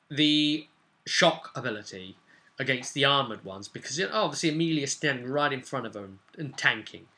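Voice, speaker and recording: Guy doing a chatty rambling game master recap, really bright punchy voice, mid pitch. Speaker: game master recapping a tabletop role-play session. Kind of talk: casual unscripted recap narration.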